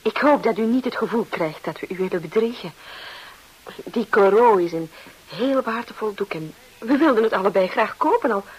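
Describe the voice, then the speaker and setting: An actress playing a sensitive, proud young English art dealer, polite and emotional, in a radio drama. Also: female voice, mid-range voice, expressive, moderate pace, slightly rough, rough recording. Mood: polite, sincere. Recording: hissy old radio drama recording.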